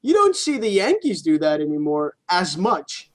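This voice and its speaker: A man's voice: sits high, huge pitch swings, moderate pace, crowd noise. A man co-hosting a podcast, chatting casually about sports with informal, sweary phrasing. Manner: informal, sweary, hedging as he argues. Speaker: podcast co-host.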